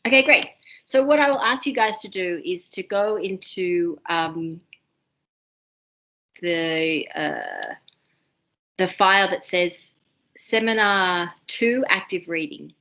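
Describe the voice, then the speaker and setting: A woman with an Australian accent leading an online university seminar, talking fast and excitedly with many ums and restarts. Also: fairly low pitch, highs cut off. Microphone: video call.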